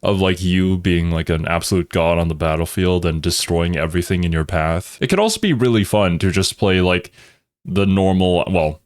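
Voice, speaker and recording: A man, a native Thai speaker, with a low-pitched voice reacts casually as a video host. He muses aloud in a rambling, reflective way. The sound is close and dry. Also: moderate pace, no echo.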